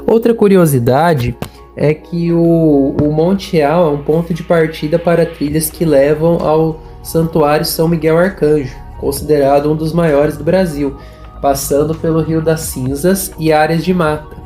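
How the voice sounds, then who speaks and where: A man's voice: on the high side, steady tone, measured pace, warm tone, soft background music. A young man from northern Paraná with a caipira Portuguese accent, hosting a history podcast in an informal, hesitant way, with many fillers and restarts. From Norte Pioneiro, Paraná, Brazil. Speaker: podcast host, history student. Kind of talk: informal spoken podcast narration.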